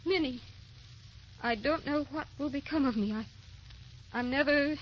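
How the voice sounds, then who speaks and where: An actress playing a tender, anxious young wife in an old radio drama, with a theatrical, wistful, pleading delivery. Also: female voice, high voice, unhurried. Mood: troubled, confessional. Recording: dull, hissy old radio recording.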